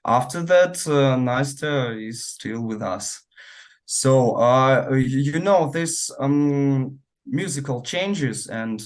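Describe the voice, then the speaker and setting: Male musician, slow and halting in interview, mid-pitched. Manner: hesitant, many fillers and restarts. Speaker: band musician.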